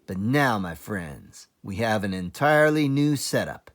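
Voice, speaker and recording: A man's voice: fairly low pitch, expressive, unhurried. A man voicing a grinning, rousing fox leader who makes emphatic, theatrical speeches in a children's storybook. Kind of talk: children's storybook read-aloud.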